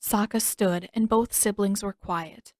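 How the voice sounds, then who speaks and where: A woman narrates a story in an expressive, measured way. Her voice sits at a medium pitch, and the recording is very dry. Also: dry recording. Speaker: audiobook narrator.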